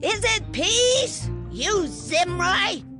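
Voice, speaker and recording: A villainous queen in a Bible radio drama, haughty and taunting. She stretches her words slowly and theatrically in a high voice. Music runs behind her. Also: big pitch swings, heavily acted. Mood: mocking, defiant. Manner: drawn-out, theatrical. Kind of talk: dramatized Bible audio acting. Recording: background music under the voice.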